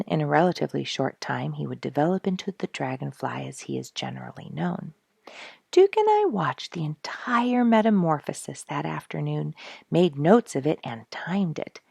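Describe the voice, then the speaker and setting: A woman reading a scripted storybook narration aloud in an expressive, deliberate storytelling manner. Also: sits low, gravelly, husky, no echo, studio quality.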